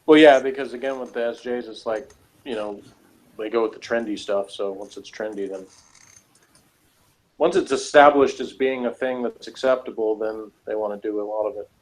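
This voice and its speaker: A man co-hosting a casual podcast chat about a TV episode, animated and jokey, often laughing and acting out quotes. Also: fairly low pitch, normal speed, rough recording. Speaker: podcast co-host.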